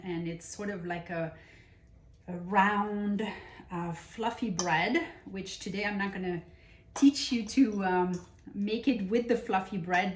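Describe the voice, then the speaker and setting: French lady doing a cooking show, slow and chatty w lots of ums, echoey kitchen. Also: lowish voice, a little hiss or hum. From France. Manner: unhurried and enthusiastic, with many pauses and fillers. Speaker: cooking show host and nutrition coach. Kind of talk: casual cooking demonstration.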